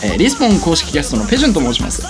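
Male radio sidekick, courteous cheerful greeting, rapid and hugely animated, music underneath. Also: on the high side. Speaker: radio show guest sidekick. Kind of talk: polite self-introduction. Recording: loud music behind the voice.

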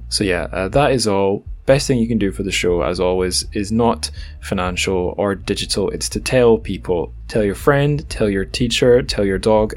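A man hosting a podcast, chatting casually with wry, self-deprecating, rambling asides. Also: on the low side. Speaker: podcast host. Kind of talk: casual podcast chat and outro.

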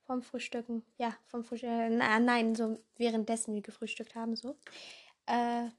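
A young woman with a hoarse voice hosting a casual podcast in a rambling way, full of fillers and self-corrections, jumping between voices. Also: fairly high pitch, completely flat delivery, moderate pace. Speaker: podcast host.

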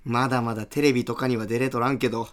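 A man's voice: mid-range voice, level tone, fast, lightly acted. A man playing a pushy, shameless, over-friendly aspiring comedian with a Hiroshima accent in a radio drama. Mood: brash, chummy. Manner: brash, chummy dialect banter.

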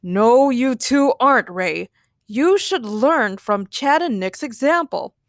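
A woman voicing an exasperated male police chief in a story, slow, dismissive and authoritative. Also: fairly high pitch, lively intonation, no room sound, studio quality. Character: exasperated male police chief, favoring his star officers.

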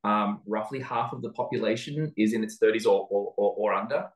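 Casting: Australian male interview guest, lowish voice, thoughtful and halting, recorded in a roomy echo. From Sydney, Australia. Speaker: interview guest, venture capital investor. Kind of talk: conversational interview answer. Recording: roomy, echoing sound.

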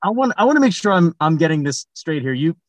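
A male podcast host with a slightly high, animated voice plays straight man in a comic interview. He is polite and a little halting, recorded dry and close. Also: no room sound. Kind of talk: comic interview in an audio play.

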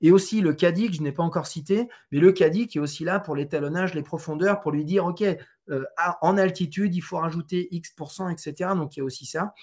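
Higher-voiced man explaining, hesitant. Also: a little fast, a little mellow. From France. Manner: hesitant, many fillers and restarts. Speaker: Trackman representative on golf tours. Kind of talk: conversational interview answers.

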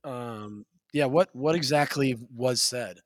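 A man co-hosting a podcast in casual chat, with a slightly raspy voice, laughing often and restarting his sentences. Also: medium pitch, takes it slowly, no room sound, studio quality. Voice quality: a little raspy. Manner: loose, laughing storyteller with many restarts. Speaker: podcast co-host.

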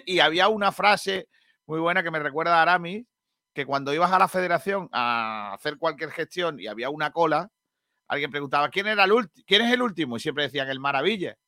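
A man hosting a live radio show, chatting in a relaxed way about carnival songs. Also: fairly high pitch, fairly quick, no room sound, studio quality. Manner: chatty, relaxed, trails off and restarts often. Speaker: radio host.

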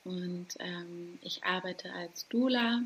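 Slow, gravelly-voiced German woman. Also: fairly low pitch, a bit bright. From Hamburg, Germany. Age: 30s. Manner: hesitant, many fillers, laughs lightly. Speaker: guest, doula. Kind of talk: casual interview talk.

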